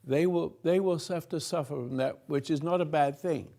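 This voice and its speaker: An older man slowly giving a rambling spiritual talk to a room, halting and restarting, swinging between soft and loud. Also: a little high, vocal fry. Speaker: spiritual teacher hosting a talk.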